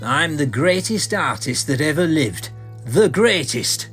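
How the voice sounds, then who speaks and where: A man's voice: a little high, sing-song, a little slow, fairly bright tone, soft background music. A man playing a bitter, arrogant, deluded artist in a melodramatic horror comic audio drama. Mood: manic boasting. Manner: melodramatic, resentful.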